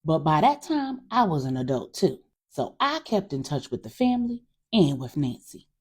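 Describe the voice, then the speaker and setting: A woman's voice: low voice, very animated, normal speed, darkish tone, husky, full character voice. A woman voicing a frank older aunt in an audio story, folksy and emphatic as she reminisces. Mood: wistful, earnest. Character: older Southern family aunt, frank, gossipy, sympathetic.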